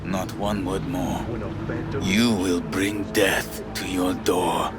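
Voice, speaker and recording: A menacing game bodyguard, under loud music. He speaks slowly and curtly, like one used to command. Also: sits low, flat delivery. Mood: threatening. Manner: curt, commanding, deliberate. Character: stern, menacing, devoted bodyguard man. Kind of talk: video game voice acting. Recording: loud music behind the dialogue.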